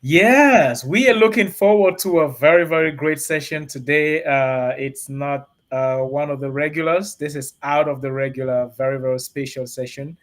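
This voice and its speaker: Male studio host, slightly high voice, energetic and repetitive, talks straight to the audience. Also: normal speed, few pauses. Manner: energetic, repetitive emphasis, addresses viewers directly. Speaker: host and reverend. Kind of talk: live-stream show hosting.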